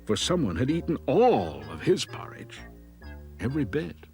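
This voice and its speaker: A man narrating scripted fairy tales for children in an expressive, dramatic way. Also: mid-range voice, moderate pace, faint music behind. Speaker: storyteller, narrator.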